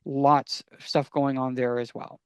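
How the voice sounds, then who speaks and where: A man's voice: mid-range voice, flat delivery, a little slow, smooth, no room sound, clean studio sound. A man co-hosting a podcast in casual talk, eagerly explaining his recommendations and answering his own questions. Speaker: podcast co-host.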